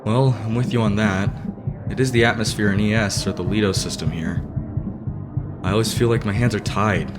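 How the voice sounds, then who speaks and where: Casting: man as proud, hot-headed teen idol, earnest and formal, low register, anime dub over loud music. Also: fairly even intonation, moderate pace, close to an everyday voice. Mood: frustrated. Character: serious, proud, hot-headed teenage boy idol, easily slighted. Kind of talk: anime fan dub acting.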